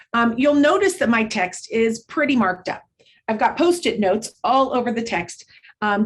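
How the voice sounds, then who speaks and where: Woman giving a structured lesson. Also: fairly high pitch. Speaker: literacy instructor. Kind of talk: instructional presentation with modeled read-aloud.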